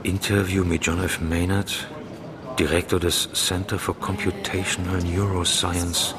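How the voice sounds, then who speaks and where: A man's voice: low voice, flat delivery, a little slow, crowd noise. An actor playing a male announcer reading an interview report in a formal manner. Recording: voice over music.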